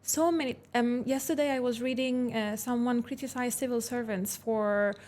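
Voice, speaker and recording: A Turkish Cypriot woman, a native Turkish speaker, talks as a podcast guest. Her speech is thoughtful and measured, and she pauses often on filler ums while choosing her words. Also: on the high side, fairly even intonation, a little mellow. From Cyprus. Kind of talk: casual podcast conversation.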